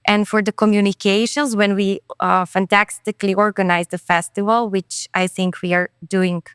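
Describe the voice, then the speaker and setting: A woman speaks in an interview, earnest and thoughtful, with quick self-corrections. Her voice is smooth and clear at a middle pitch. The sound is dry and close. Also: flat delivery, a bit bright, no echo. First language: Serbian. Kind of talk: podcast interview conversation.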